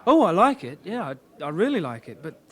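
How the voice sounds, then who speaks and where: An actor playing an unsure, awkward young British detective constable, hesitant and diffident, in a radio drama. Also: male voice, high-pitched, sing-song, brisk. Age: young adult.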